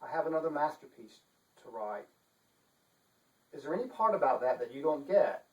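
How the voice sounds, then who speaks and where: A man with a pompous, theatrical manner giving a deliberate dramatic reading as an author. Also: a little high, lively intonation, lo-fi sound. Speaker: author reading his novel. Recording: echoey room, distant microphone.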